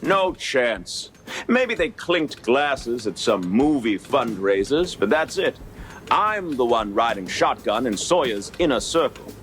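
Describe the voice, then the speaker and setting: Snippy condescending 30s cartoon politico, medium pitch, very animated delivery. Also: male voice, takes it slowly, soft background music. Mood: defensive, brusque. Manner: brusque, defensive, condescending. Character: testy, self-important cartoon mouse political insider. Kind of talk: 1930s noir cartoon game acting.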